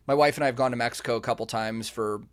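A man's voice: mid-range voice, fairly even intonation, rapid-fire, smooth. A man in his thirties hosting a podcast, talking casually with lots of fillers and restarts. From United States. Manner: chatty, rambling, many fillers and restarts. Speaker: podcast host. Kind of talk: casual conversational interview.

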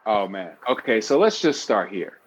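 Man, 50s, interview guest, animated storyteller, playful, casual talk. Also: moderate pace, slightly rough. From New York City. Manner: animated storyteller, bursts of pitch when excited. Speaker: guest author.

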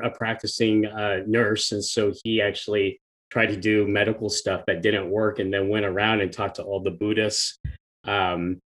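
A man in his forties, born in Thailand and raised in the US, telling his story as an interview guest in an easygoing, unhurried way from his home over a remote call. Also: fairly low pitch, a bit bright, poor audio. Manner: easygoing, unhurried, chuckles lightly. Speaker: guest, long-distance hiker. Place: at home, apartment or house.

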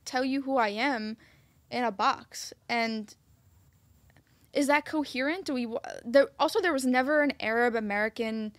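A woman from Egypt co-hosting a casual talk show, speaking warmly but hesitantly, often restarting her sentences. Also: a little high, moderate pace, no room sound. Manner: warm, hesitant, self-interrupting. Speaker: co-host. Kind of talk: casual chat.

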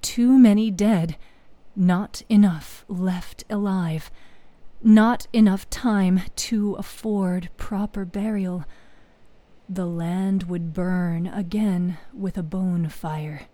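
Slow, mellow woman storyteller, solemn. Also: fairly low pitch, fairly even intonation, no echo. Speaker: storyteller, narrator. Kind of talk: dramatic scripted fantasy narration.

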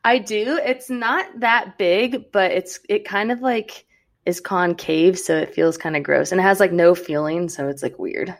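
A woman's voice: on the low side, measured pace. A woman in her twenties co-hosting a podcast, talking animatedly and playfully, with lots of "like" fillers. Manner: animated, uses "like" a lot, playful. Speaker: podcast co-host. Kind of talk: casual chat.

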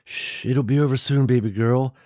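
A man's voice: medium pitch, big pitch swings, a little fast, darkish tone, no room sound, clean studio sound, pitched above the performer's own voice. A man voicing an anxious, protective father with an agitated, urgent manner in an audiobook story. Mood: pained, soothing. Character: anxious, protective suburban father of a young girl.

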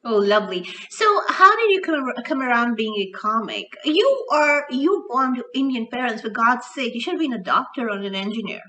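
A woman hosts a radio and podcast interview with a bright, forceful tone, her voice set a little high. She asks her guest questions in an upbeat, eager way. She sounds a bit far from the microphone. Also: big pitch swings, moderate pace, few pauses, a little hiss or hum. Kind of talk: conversational interview. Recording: distant from the mic.

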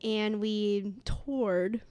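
A woman's voice: medium pitch, steady tone, drawn-out words, darkish tone, clear, resonant tone, no room sound. A woman in her late twenties from upstate New York, co-hosting a casual podcast chat with frequent laughs. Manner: giggly, chatty. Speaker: podcast co-host.

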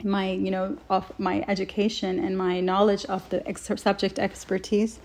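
A woman's voice: medium pitch, monotone, normal speed, a little mellow. A woman from Saudi Arabia giving earnest, wordy, enthusiastic answers as an interview guest in a conversational style. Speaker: interview guest, health researcher.

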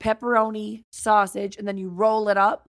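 A woman's voice: medium pitch, flat delivery, normal speed, dry recording, clean studio sound. An American woman co-hosting a podcast in casual chat, with a blunt, teasing, slangy way of talking. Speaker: podcast co-host.

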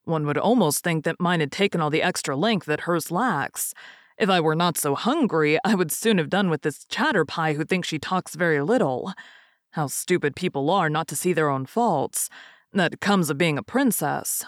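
A woman's voice: sits low, animated, normal speed, no room sound. Woman doing a young fairytale prince, proud n formal, kinda huffy and impatient, dry close sound. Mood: grumbling. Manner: formal, courtly, indignant.

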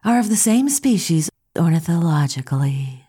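A serious, analytical woman, played by an actress in an audio drama. Her voice is low and dark with a husky edge. She states things precisely and matter-of-factly. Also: big pitch swings, moderate pace. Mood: serious, deductive.

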